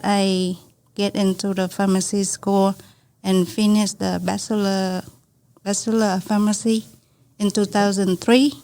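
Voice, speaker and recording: A woman in her forties with a Vietnamese accent, telling her own story as a podcast guest in halting second-language English. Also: medium pitch, a little mellow. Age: 40s. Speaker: podcast guest, small business owner. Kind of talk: conversational personal storytelling.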